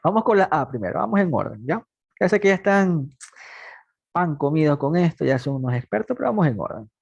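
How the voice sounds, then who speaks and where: A man's voice: on the high side, big pitch swings, brisk, creaky, darkish tone, husky, no room sound, lo-fi sound. A man in his twenties teaching a math logic class, patiently asking students questions and then answering them himself. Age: 20s. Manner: repeats points, talks plainly to students. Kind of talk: online class lecture.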